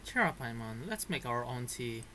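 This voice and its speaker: A man's voice: medium pitch, big pitch swings, normal speed, bright, forceful tone, slight background noise. A man giving casual, informal reaction commentary as he streams a game. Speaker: gaming streamer.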